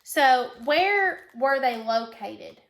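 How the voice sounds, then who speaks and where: High-voiced woman reading aloud as a teacher. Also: animated, extremely slow, creaky, a bit bright. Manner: instructional, repeats answers and prompts with questions. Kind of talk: read-aloud storybook lesson with questions. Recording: echoey room.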